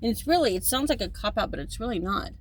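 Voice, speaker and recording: A woman's voice: mid-range voice, expressive, fast, a little hiss or hum. A woman in her fifties talking in a casual, rambling monologue, often repeating and restarting her sentences, and at times turning emphatic and indignant. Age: 50s.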